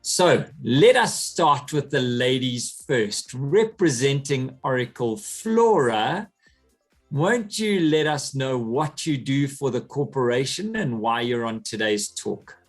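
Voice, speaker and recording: An effusive male host leads the interview, chatty and full of warm compliments for his guest. His voice is mid-pitched, and faint music plays softly behind him. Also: expressive, takes it slowly. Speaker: podcast host. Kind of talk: interview hosting.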